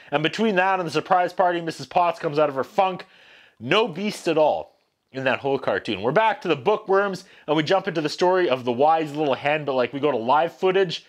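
Male vlog host, 40s, high voice, sarcastic and exasperated comedic review delivery, animated. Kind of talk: comedic scripted-style review rant to camera. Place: small indoor room.

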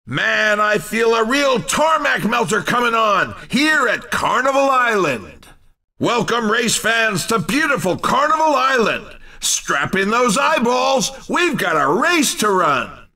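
An actor playing an excitable, upbeat male race commentator in a video game, with showy, hyped announcer delivery. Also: very high-pitched, takes it slowly, distant mic, heavily acted. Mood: excited, hyped.

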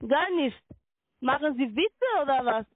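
A woman making a scripted sales call as an agent, insistent and repetitive, with halting non-native phrasing. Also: high voice, sing-song, no room sound. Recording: narrow tinny phone line.

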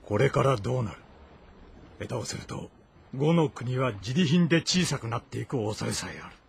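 A man's voice: medium pitch, lively intonation, normal speed, creaky, a little hiss or hum. An actor playing a grave, calculating man of rank in an ancient Chinese historical drama. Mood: brooding, anxious. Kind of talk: Japanese historical audio drama acting.

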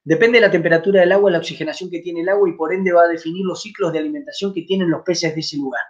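A man's voice: a little high, steady tone, very fast, highs cut off. A man in his forties with an Argentine Spanish accent, hosting a live fly fishing Q&A from home, chatty, with many fillers and restarts. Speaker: fly fishing channel host. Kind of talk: live stream Q&A. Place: home room, indoors. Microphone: webcam at desk.

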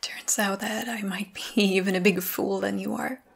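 Woman voicing a wry lovesick young vampire, whispers breathy in a flat tone, for an ASMR roleplay. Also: medium pitch, normal speed. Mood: wistful. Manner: soft whispering, dry teasing.

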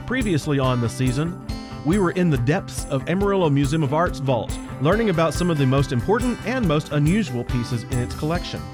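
A man's voice: fairly high pitch, lively intonation, fairly quick. An American man reading scripted narration as host of a television program. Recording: music bed behind voice. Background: background music.

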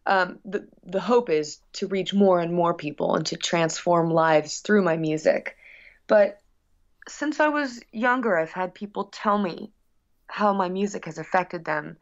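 A woman's voice: fairly low pitch, measured pace. A woman speaking earnestly and reflectively as a musician being interviewed, in casual conversation. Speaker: guest musician. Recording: dull, lo-fi sound.

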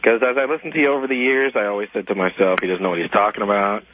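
A man's voice: on the low side, steady tone, racing, slight background noise. A man phoning a radio show, speaking in an earnest, effusive, personal way. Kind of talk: personal phone call. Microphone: telephone. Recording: thin tinny phone line.

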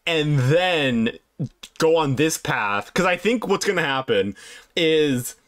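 A man's voice: on the high side, animated, slow, fairly bright tone. A man giving casual, foul-mouthed gameplay commentary among friends. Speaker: gaming video host.

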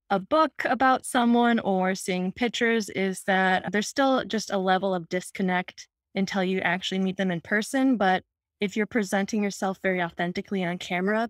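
A woman's voice: mid-range voice, dry recording, studio quality. A young woman from Minnesota giving a friendly, explanatory webinar presentation over a video call.

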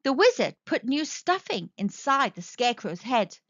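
Lady reading a kids story, really lively n bright, dry slightly dull recording. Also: fairly high pitch, normal speed, dry recording. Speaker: children's story narrator. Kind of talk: animated read-aloud storytelling.

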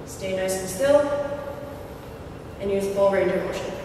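A young woman, a fitness trainer, calmly explaining exercise technique as she demonstrates. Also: mid-range voice, a little slow, noisy background. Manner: clear, steady coaching while demonstrating exercises. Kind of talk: instructional demonstration. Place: large gym with hard walls. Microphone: far camera. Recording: echoey gym from far off.

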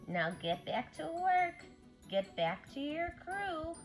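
A woman with a high voice reads a rhyming children's book aloud. Her pitch moves widely and her pace is unhurried, each phrase set out deliberately for young listeners. Music plays behind her. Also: sing-song. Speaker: children's story-time reader. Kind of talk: animated read-aloud of a rhyming picture book.

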